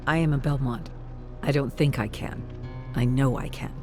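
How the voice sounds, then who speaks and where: Male monster hunter, performed by a narrator: stern, proud, commanding, mid pitch, gothic fantasy storytelling with music behind. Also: steady tone, moderate pace, natural voice. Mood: proud, certain. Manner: firm, commanding.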